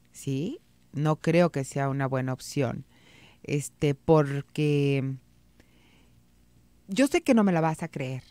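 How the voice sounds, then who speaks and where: A woman's voice: sits low, no room sound. Stuffy-nosed Mexican woman radio host. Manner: calm, reassuring, reads listener messages aloud. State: stuffy head cold. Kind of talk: advice radio show. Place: radio studio. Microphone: studio mic on boom arm.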